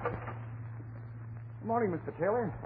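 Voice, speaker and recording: High-voiced nervous milkman. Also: animated, slow, background noise. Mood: chatty, apologetic. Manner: chatty, stammering, deferential. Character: nervous, folksy, apologetic milkman. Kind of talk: vintage radio drama acting. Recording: tinny, humming old radio recording.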